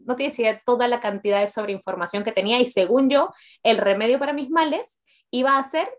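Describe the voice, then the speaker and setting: A woman's voice: on the high side, a little fast. A woman in her late twenties with a Peruvian accent, telling her personal story candidly and conversationally as an interview guest, often repeating words for emphasis. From Peru.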